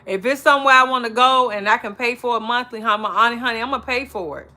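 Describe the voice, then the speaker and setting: A woman from Chicago with an American accent talks casually to her viewers. Her voice is bright and forward, and she tells stories in a chatty, informal way full of slang. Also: on the high side, fast talker. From Chicago, United States. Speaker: vlogger chatting with live viewers. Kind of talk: casual chat.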